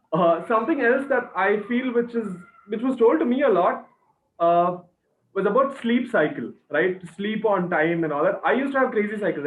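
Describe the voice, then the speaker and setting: A man's voice: high voice, slight background noise. A man in his twenties with an Indian accent, hosting a casual discussion and often tagging his points with questions. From Chennai, India. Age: 20s. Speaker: student panel host. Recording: echoey, thin phone-like video-call sound.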